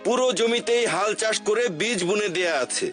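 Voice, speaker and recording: A man's voice: high-pitched, brisk, fairly bright tone, soft background music. A man voicing a cheery cartoon schoolteacher who gives children instructions. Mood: instructive.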